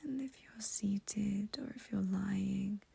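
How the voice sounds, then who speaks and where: Female meditation guide, soothing and intimate, very breathy whisper with a soft, mellow tone. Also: medium pitch. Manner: soothing, slow, gentle, intimate.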